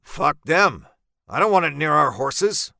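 A man voicing a tired, ailing rancher, gruff and irate with fear underneath, in an audiobook story. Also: on the high side, lively intonation, measured pace, bright, forceful tone, no echo, studio quality, heavily acted. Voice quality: weak, weary. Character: tired, ailing, irritable rancher, scared beneath his anger.

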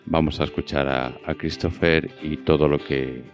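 A man's voice: very deep voice, steady tone, moderate pace, faint music behind. A man from hosts a conversational interview in an encouraging way. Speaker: interview host.

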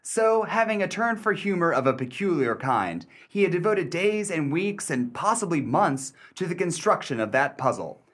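Guy as a proper skeptical gentleman in a radio play, higher voice, very lively intonation. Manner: articulate, formal, measured. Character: polite, skeptical gentleman adventurer and storyteller, dryly scrupulous. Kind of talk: radio play acting and narration.

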